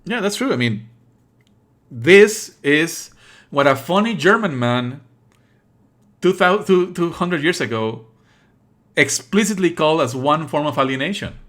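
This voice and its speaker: A man livestreaming casual game commentary, opinionated and scornful, swearing and explaining in a halting way with repeated phrases. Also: on the high side, animated, fairly bright tone.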